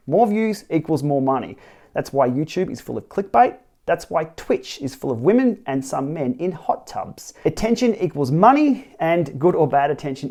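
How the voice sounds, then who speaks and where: A middle-aged Australian man in a small home studio, earnestly and wryly explaining his side of a dispute in a partly read, scripted to-camera talk. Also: on the high side. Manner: earnest and wry, lively emphatic delivery with dry asides. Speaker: cycling tech YouTuber. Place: small home studio with city backdrop, indoors.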